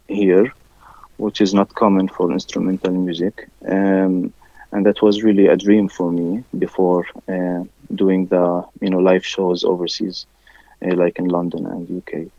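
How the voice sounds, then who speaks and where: A man whose first language is Arabic, a musician interviewed in English, who talks haltingly with many fillers and restarts. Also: deep voice, flat delivery, mellow, no echo, dull audio. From village near Haifa, raised there from first grade. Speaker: musician guest.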